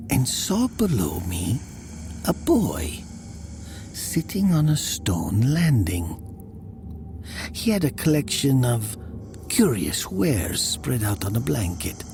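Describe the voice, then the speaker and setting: A man narrating a first-person horror story dramatically, in a hushed, deliberate, often whispery manner. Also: medium pitch, creaky, background noise.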